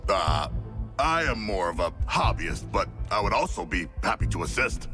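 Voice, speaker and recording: A man playing a big, gentle shark man in a video game, earnest and politely stilted. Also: fairly low pitch, very animated, normal speed, soft background music, exaggerated voice. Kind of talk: video game cutscene acting.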